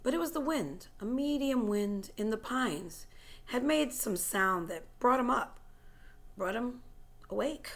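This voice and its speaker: A woman reading a story aloud in a dramatic, suspenseful way, moving between hushed whispers and forceful, louder phrases. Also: on the high side, a little slow. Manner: suspenseful and expressive, dropping to whispers and rising to loud, forceful phrases. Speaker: teacher reading a book chapter aloud.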